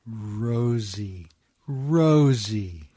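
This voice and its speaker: A man's voice: on the low side, animated, drawn-out words, dark tone, dry recording, studio quality, full character voice. A man playing a humble, easygoing riverboat man in a radio play, with a hesitant, folksy way of talking. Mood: tender. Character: humble, easygoing riverboat man, sheepish and fond of his sweetheart.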